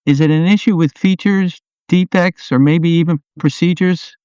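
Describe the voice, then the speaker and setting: A man acts out a concerned software product owner in an office radio play. His voice is clear and a little high, and he speaks earnestly, asking questions and seeking agreement. The audio is dry and slightly dull. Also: fairly even intonation, normal speed, dry recording, lightly acted. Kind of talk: amateur radio play dialogue.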